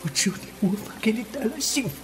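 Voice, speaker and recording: An actor playing a gentle, devoted, heartbroken young man, speaking softly and hesitantly in a Chinese audio drama. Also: medium pitch, lively intonation, moderate pace, over music, natural voice.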